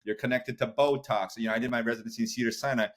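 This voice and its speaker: A man's voice: medium pitch, fairly even intonation, very fast, a bit bright. A man in his thirties hosting an interview, casual and affirming, with many fillers. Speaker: podcast host, doctor.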